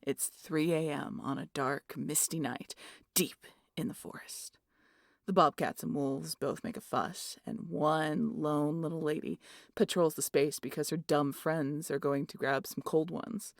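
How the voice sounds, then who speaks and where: A woman acting a rowdy, muscular aunt in an audio roleplay. The voice is on the low side and closely recorded with a dry sound, swaggering and teasing as it spins tales. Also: a little slow, no room sound. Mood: suspenseful. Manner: swaggering, foul-mouthed, animated storyteller. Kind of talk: ASMR roleplay audio drama.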